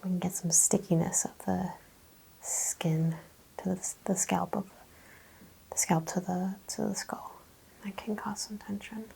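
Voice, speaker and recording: Gentle whispery woman in soft ASMR, slightly creaky. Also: lowish voice, fairly even intonation, poor audio. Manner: gentle, often dropping into a whisper; explains and questions in short unhurried phrases. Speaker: ASMR host playing a chiropractor. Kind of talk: ASMR roleplay, soft-spoken exam.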